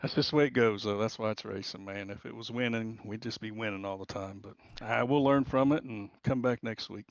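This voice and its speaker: A man giving a casual post-race interview as a racing driver over remote voice chat, plain-spoken, halting and hard on himself. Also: lowish voice, steady tone. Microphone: remote voice chat into the broadcast. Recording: dull, roomy voice-chat connection.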